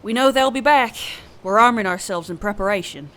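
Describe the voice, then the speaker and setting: A woman's voice: mid-range voice, a little fast, a bit bright, natural voice. A flirtatious bodyguard, voiced by a woman, chats in a breezy, teasing manner, with a voice that sits in the middle range. She tells her stories with casual swagger in an audio roleplay. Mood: storytelling. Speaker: side character in an audio roleplay.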